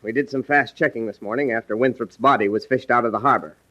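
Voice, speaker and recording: An actor playing a stern, world-weary police lieutenant in 1940s radio drama style. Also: male voice, medium pitch, quick pace, pushed and bright, no room sound, lightly acted. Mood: stern, matter-of-fact. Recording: dull, dry 1940s radio recording.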